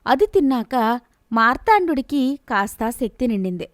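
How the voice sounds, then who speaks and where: A woman narrating a scripted bedtime fantasy story in a steady, expressive storytelling manner. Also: on the high side, normal speed, no room sound, studio quality.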